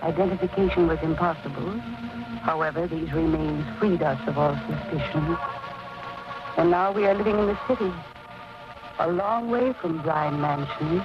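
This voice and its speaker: A woman's voice: low voice, normal speed, over music, natural voice. An actress playing the anguished daughter of a murdered man, in earnest, dramatic 1940s radio style. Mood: somber certainty. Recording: very noisy, muffled 1940s radio recording.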